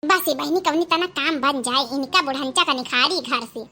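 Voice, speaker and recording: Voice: sits high, normal speed, bright, forceful tone, exaggerated voice. A performer voicing a sly, coaxing young village daughter-in-law in a comic cartoon family drama, with a rustic Awadhi accent and a wheedling, persuasive manner. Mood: sly, exasperated.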